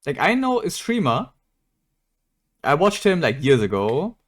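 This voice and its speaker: A man's voice: fairly high pitch, big pitch swings, measured pace. A man chatting casually as he streams a game, blunt and sweary in his rambling. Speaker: game livestreamer. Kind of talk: casual gaming stream chat.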